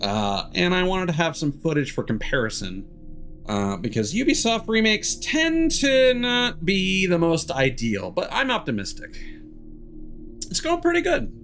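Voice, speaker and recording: A man's voice: high-pitched, wildly swooping pitch, a little slow, fairly bright tone, soft background music. A man doing casual live stream commentary on a game, rambling and swearing casually.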